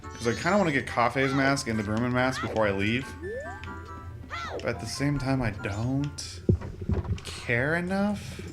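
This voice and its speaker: Man rambling through animated game commentary. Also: medium pitch, unhurried, pauses often. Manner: rambling, self-interrupting, joking asides. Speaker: let's play host. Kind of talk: casual gameplay commentary. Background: video game music.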